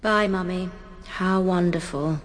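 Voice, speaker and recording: An actress playing a petulant, unstable young daughter in a radio drama, with a sulky, pleading manner. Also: female voice, fairly low pitch, measured pace, lightly acted. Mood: cool, polite. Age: young adult.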